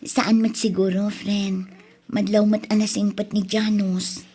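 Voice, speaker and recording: A woman's voice: medium pitch, level tone, unhurried, warm tone, husky. A woman playing a playful, shy young student in an Ilocano audio drama, speaking with an Ilocano Filipino accent and a teasing, casual manner. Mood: earnest, coy. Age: young adult.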